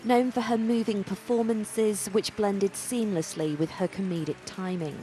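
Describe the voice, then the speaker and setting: A woman's voice: mid-range voice, fairly even intonation, measured pace, music in the background. A British woman news reporter voicing a scripted report.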